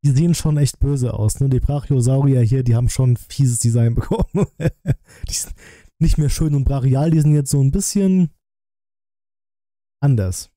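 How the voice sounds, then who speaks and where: Very mellow man streaming, close and dry. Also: mid-range voice, moderate pace, no echo. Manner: chatty, addressing viewers. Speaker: streamer reacting to a film review. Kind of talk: casual live-stream commentary. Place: small room at a streaming setup. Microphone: close desk microphone, headset worn.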